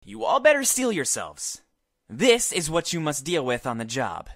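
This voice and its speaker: A woman's voice: deep voice, wildly swooping pitch, moderate pace, no room sound, studio quality, heavily acted. A woman voicing a pompous, demanding male leader of an exterminator squad, with formal, theatrical speech in a visual novel. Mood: stern, grand.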